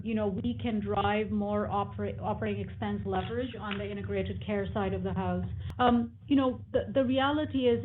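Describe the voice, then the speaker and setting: A woman's voice: medium pitch, a little hiss or hum. Hesitant female executive, tinny phone line. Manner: halting, explanatory, many hesitations. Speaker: company executive. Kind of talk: unscripted answers on an earnings call.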